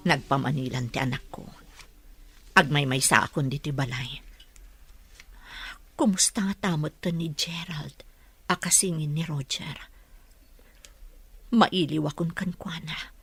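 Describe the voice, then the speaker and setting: A woman's voice: deep voice, measured pace, long pauses, fairly bright tone, breathy edge, dry recording, exaggerated voice. A woman playing a lonely, prayerful mother in a radio drama, half-whispering as she misses her children. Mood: wistful, longing.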